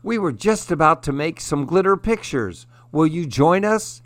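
A man's voice: a little high, expressive, moderate pace, a bit bright, no room sound. A man voicing a kindly, encouraging female fairy teacher from a children's storybook.

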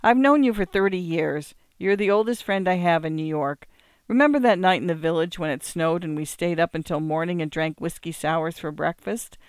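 A woman's voice: on the low side, moderate pace, smooth, no room sound, close to an everyday voice. A woman reading aloud, voicing a gushing, clingy woman who calls everyone darling. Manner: gushing, says darling often. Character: clingy, flighty old woman friend Joan.

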